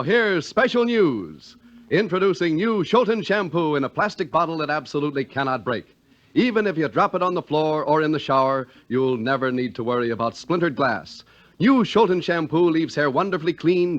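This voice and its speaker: A man working as a vintage radio announcer, with very lively intonation and polished dramatic phrasing. The sound is muffled, like an old broadcast recording. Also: fairly high pitch. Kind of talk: 1940s-50s radio announcing, scripted narration and ad.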